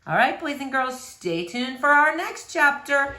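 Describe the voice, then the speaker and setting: A woman reading a children's novel aloud as an expressive storyteller. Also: high voice, unhurried, echoey room.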